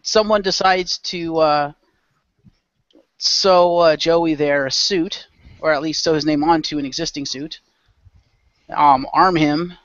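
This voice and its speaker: Higher-voiced man hosting, dull audio. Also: fairly even intonation, takes it slowly, long pauses, a bit bright, no room sound. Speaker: game master and narrator. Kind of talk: casual group chat with game narration.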